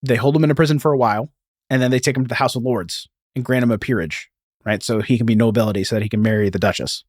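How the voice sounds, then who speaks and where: A man's voice: mid-range voice, racing, no room sound, clean studio sound. A man hosting a podcast, telling a story in a casual, informal way peppered with "like" and "you know.". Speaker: podcast host.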